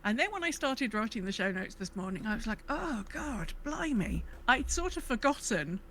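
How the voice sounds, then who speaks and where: A woman's voice: fairly high pitch, sing-song, normal speed, a bit bright, slight background noise. A British woman co-hosting a podcast, chatting casually and informally about a video game. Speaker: podcast co-host.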